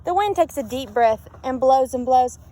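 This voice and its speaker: A woman's voice: sits high, moderate pace. A woman reading a children's picture book aloud expressively, with sing-song repeats and questions to her listeners. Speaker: storybook reader. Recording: steady background noise.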